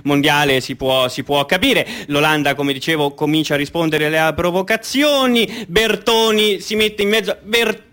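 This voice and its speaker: A man's voice: a little high, normal speed, few pauses, sharp tone. An actor playing a fervent, patriotic male football commentator in a radio play, declamatory and repeating phrases for emphasis. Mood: uneasy, excusing.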